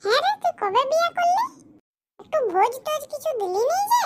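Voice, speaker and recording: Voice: very high-pitched, sing-song, a little fast, a little hiss or hum. A performer voicing a curious, skeptical village man in a cartoon, who keeps firing questions. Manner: questioning, incredulous. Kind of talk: cartoon comedy voice acting.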